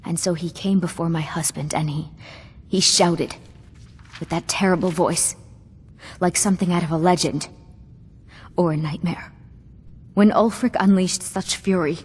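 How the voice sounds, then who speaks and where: A woman's voice: fairly low pitch, steady tone, a little slow, pauses often, darkish tone, husky, heavily acted. A woman voicing a young widowed ruler in a fantasy video game, regal and solemn, with grief and bitterness under her formal speech. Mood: bitter, grieving. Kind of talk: scripted game dialogue. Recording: long hall reverb.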